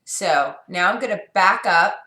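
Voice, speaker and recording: A woman's voice: on the low side, lively intonation, a little slow, pushed and bright. A middle-aged woman from New York with a sore, scratchy throat, talking candidly and rambling in a casual vlog. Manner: rambling, candid, frequent fillers and restarts. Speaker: vlogger. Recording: echoey room.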